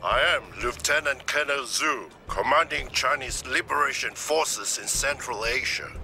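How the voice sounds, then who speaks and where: A resolute male Chinese army commander, gives a formal video game briefing. His pitch sits in the middle and music plays clearly behind his declarations. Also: pushed and bright. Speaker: military commander.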